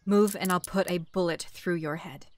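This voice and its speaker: Woman acting as a tough lowish-voiced chief detective. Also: moderate pace, lightly acted. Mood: brusque, commanding. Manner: brusque, commanding, swearing interrogator. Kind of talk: scripted audio roleplay acting. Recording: clear background noise.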